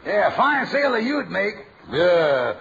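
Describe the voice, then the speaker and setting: A man's voice: high-pitched, big pitch swings, slow, creaky, full character voice. An actor playing a sly, needling schemer who teases his friend, in 1940s radio comedy style. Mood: teasing. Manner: wisecracking, needling. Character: sly, teasing smooth-talking schemer. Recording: muffled old radio broadcast with loud hiss.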